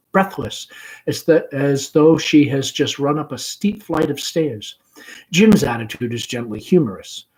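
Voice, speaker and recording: A man's voice: medium pitch, darkish tone, poor audio. Man narrating stage directions. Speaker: read-along narrator.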